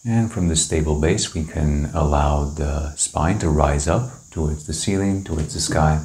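A man leading a guided meditation, giving calm, gentle, unhurried instructions with long pauses. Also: a bass voice. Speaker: meditation teacher. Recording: roomy, echoing indoor sound.

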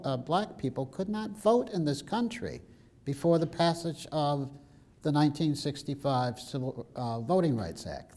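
A man's voice: a little high, a little mellow. An older man speaking emphatically on a panel, telling personal stories with wry asides, in a large wood-paneled hall. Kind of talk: passionate panel talk.

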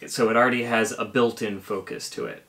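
A man's voice: on the low side, big pitch swings, brisk, gravelly, sharp tone. A man, presenting a conversational how-to video in a home kitchen. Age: 30s. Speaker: puppetry presenter.

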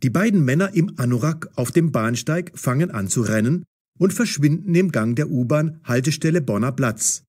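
A man narrating a scripted audio story for language learners in a measured, clearly enunciated way. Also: fairly high pitch, animated, a little mellow.

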